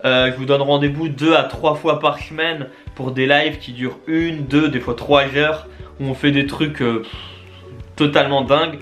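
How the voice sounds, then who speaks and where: An enthusiastic man in his twenties talks straight to his audience in a loose, chatty vlog manner. His voice sits fairly high, and he keeps tripping into fillers and restarts. Faint music plays underneath. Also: steady tone, normal speed, fairly bright tone. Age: 20s. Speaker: YouTube and Twitch creator. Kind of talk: casual vlog address to viewers.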